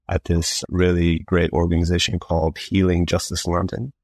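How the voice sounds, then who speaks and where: A man in his thirties, born in Canada, answering interview questions as a psychologist in a thoughtful, measured way with some fillers. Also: sits low, dry recording. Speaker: guest psychologist.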